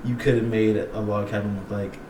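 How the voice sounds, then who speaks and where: A man's voice: on the low side, little pitch movement, fairly quick. Young male co-host, teasing and emphatic, heard from a distance with faint hiss. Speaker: podcast co-host. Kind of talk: casual chat. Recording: echoey room, distant mic, faint noise.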